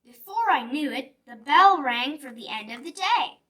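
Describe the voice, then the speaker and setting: A woman reading a picture book aloud for young children in an animated, deliberate storytelling manner. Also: high voice. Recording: distant mic with music behind. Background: music.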